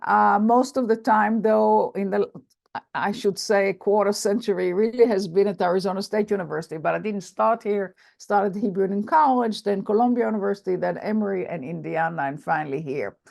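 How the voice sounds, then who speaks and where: An older woman with an Israeli accent giving an academic lecture on a video call, speaking in a measured, halting way with many fillers and restarts. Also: medium pitch, vocal fry, darkish tone.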